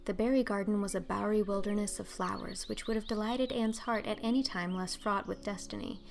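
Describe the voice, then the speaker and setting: A woman's voice: mid-range voice, fairly even intonation, a little fast, vocal fry. A woman narrating a classic children's novel as a scripted audiobook.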